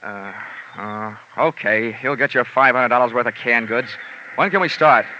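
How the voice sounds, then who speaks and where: An actor playing a tough, wry insurance investigator in a hard-boiled 1950s radio detective style. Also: male voice, mid-range voice, moderate pace, pushed and bright, a little hiss or hum, natural voice. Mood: dry, resigned. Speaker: narrator and lead. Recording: dull old radio recording with music.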